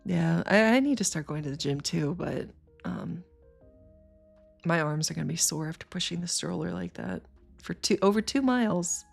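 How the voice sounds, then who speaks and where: Brief: thirties woman streamer, low gravelly voice, congested and worn out, faint music underneath. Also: sing-song, normal speed. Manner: tired, rambling, chats with viewers. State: sniffly, congested. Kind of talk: casual livestream chat.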